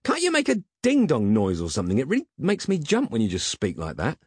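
A man's voice: deep voice, very animated, normal speed, darkish tone, slightly rough, dry recording, studio quality, full character voice. A man playing a self-important, jumpy comic hero in an audiobook, whining, huffing and grumbling like a sulky teenager. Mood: bitter, startled. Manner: whiny, huffy, grumbling.

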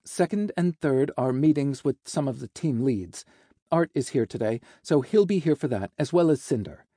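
A man's voice: mid-range voice, expressive, moderate pace, dark tone, dry recording, studio quality, lightly acted. A man voicing a cheerful, efficient female secretary with a teasing, matter-of-fact manner in an audiobook. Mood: brisk, businesslike. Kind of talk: audiobook character dialogue.

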